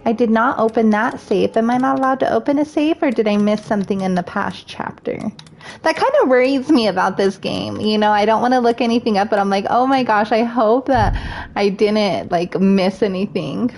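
A woman's voice: on the high side, normal speed, gravelly, darkish tone. A woman with a scratchy voice chatting while she plays a game and thinks aloud. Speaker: gaming streamer. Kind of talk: let's play commentary.

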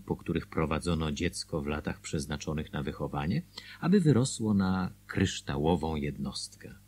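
An actor playing a former small-town mayor who recounts the town's history in a radio drama, husky and expressive. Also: male voice, low-pitched, heavily acted.

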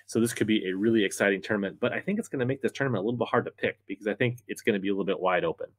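A man's voice: fairly low pitch, a bit bright, no room sound. An American man in his thirties giving sports commentary and picks to camera as the host. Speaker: fantasy fishing pundit and host. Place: small indoor room, seated at a desk.

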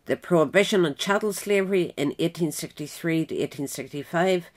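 A woman narrating a written biographical essay in a measured, formal way. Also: on the low side. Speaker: narrator, reader.

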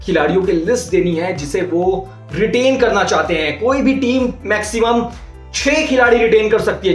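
A man in his thirties presents a cricket news report straight to camera from a small indoor room. His fairly high voice rises and dips as he stresses his points with energy. The sound is roomy and echoey. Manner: energetic, emphatic delivery with rising and falling pitch. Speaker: sports reporter.

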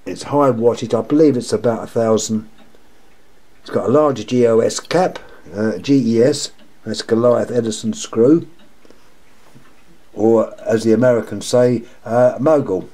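An older man with a sore, croaky throat, talking casually and in a rambling, repetitive way while showing his lamp collection at home. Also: mid-range voice, measured pace, warm tone. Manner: rambling, repeats himself, wry complaints. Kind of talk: casual show-and-tell monologue. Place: indoors at home, table of lamps.

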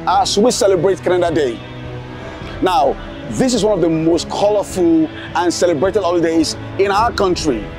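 An upbeat man with a high voice, hosting street interviews outdoors. He keeps things lively and repeats his guests' answers back to them. Music plays clearly behind him.

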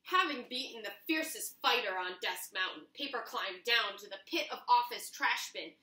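A young woman reading a picture book aloud to children in an animated, expressive, dramatic storytelling manner. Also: fairly high pitch. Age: young adult. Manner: expressive, drawn-out dramatic narration. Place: small home kitchen, indoors. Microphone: camera a few feet away. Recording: roomy kitchen, camera a few feet away.

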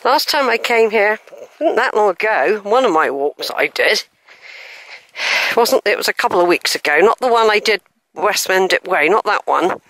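A woman's voice: mid-range voice, lively intonation, normal speed, fairly bright tone, slight background noise. An older woman, chatting casually to camera as she walks through open fields, rambling with asides and self-corrections. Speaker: walking vlogger. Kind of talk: chatty walking commentary to camera. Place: open hilly fields outdoors. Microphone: handheld camera mic while walking.

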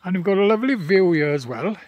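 A man's voice: high voice, animated, fast talker, no echo, lo-fi sound. A man in his seventies with a Welsh accent, speaking Welsh as his first language, chatting while out on a country walk and tired from walking. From Wales. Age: 70s. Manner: chatty, plain-spoken, many fillers and restarts. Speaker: local walker filming his path. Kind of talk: rambling walking vlog. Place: outdoors on a rural path by wetland. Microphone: handheld phone camera, speaker off-screen.

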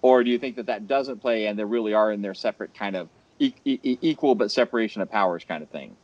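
A man's voice: on the low side, fast talker, a little mellow. A man on a headset at home moderates a video call panel. He rambles through long questions full of restarts and fillers. The call audio sounds dull and muffled. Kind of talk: casual panel discussion. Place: home room. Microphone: headset over video call.

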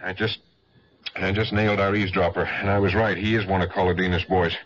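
An actor playing a dogged, wry American investigator in a 1970s radio drama. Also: male voice, deep voice, steady tone, fairly quick, fairly bright tone. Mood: wry, urgent. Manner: wry, insistent. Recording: muffled old radio recording, faint hiss.

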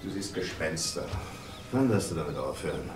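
A man's voice: extremely low pitch, big pitch swings, moderate pace. A man playing a curt, weary, unfaithful husband in a crime drama, terse and dismissive. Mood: irritated. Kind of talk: dramatic dialogue acting. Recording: very echoey, distant, music behind.

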